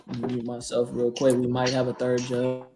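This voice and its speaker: A man's voice: mid-range voice, completely flat delivery, a little fast, crowd noise. A man hosting an online debate in a casual, slangy, playful way on a group video call. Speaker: host of an online debate tournament. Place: multi-person video call. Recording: video call with clear background noise.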